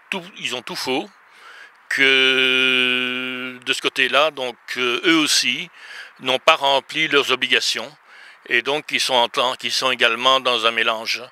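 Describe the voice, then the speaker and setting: A man from Belgium with a middle-pitched, very forceful voice. He delivers an earnest religious message in an interview, unscripted, with some hesitation between phrases. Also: smooth. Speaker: interview guest.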